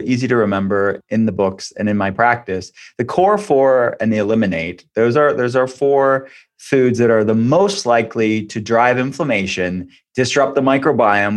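A man speaking as an expert guest in an interview, explaining point by point and repeating phrases for emphasis. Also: fairly low pitch. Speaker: guest expert, functional medicine practitioner.

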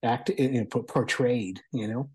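A man reviews in a relaxed, conversational way. His voice is soft-edged and a little rough, and he speaks with halting, hesitant enthusiasm. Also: mid-range voice. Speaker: guest reviewer of audio drama and podcasts. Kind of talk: casual review chat.

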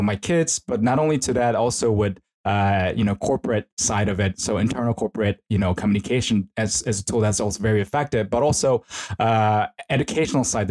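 A man's voice: medium pitch, a little mellow. A middle-aged man from South Korea with a Korean accent, chatting informally as an interview guest in a hesitant, rambling way. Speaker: esports consultant and professor, interview guest. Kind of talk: casual conversational interview.

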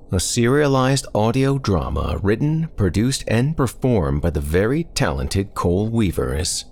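A man hosting a horror podcast, reading a scripted introduction in a deliberate, theatrical and ominous way. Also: low voice, gravelly, a little mellow, slight background noise. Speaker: podcast host.

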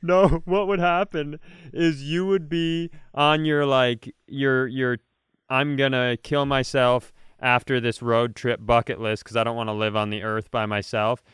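A man with a clear, smooth voice and a mid-range pitch hosts a casual comedy podcast. He riffs like an animated storyteller, slipping into imagined quotes and swearing freely. The sound is close and dry, with hardly any room in it. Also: measured pace, no echo. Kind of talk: casual comedic chat, improvised hypothetical riffs.

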